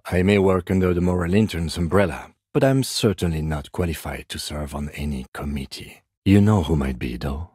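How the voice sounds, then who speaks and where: An actor playing a composed, by-the-book middle-aged police detective in a video game, speaking in a measured, formal, deadpan way. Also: male voice, low voice, slightly rough, no echo, studio quality. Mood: dryly sardonic. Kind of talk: scripted game dialogue.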